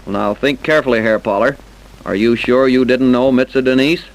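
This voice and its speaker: An actor playing a brisk, sceptical police officer in an old-time radio crime drama, questioning in gruff, slangy style. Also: male voice, mid-range voice, fairly even intonation, lightly acted, rough recording. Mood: probing. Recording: vintage radio broadcast recording.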